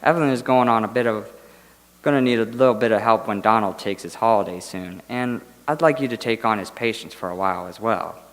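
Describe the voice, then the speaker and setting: An actor playing a courteous, quietly menacing dentist in a radio drama, speaking in a measured, insinuating way. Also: male voice, fairly low pitch, close to an everyday voice. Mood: smooth, sly. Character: smooth, quietly menacing male dentist running a suspect practice. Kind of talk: stage radio drama acting. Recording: echoey hall, live stage performance.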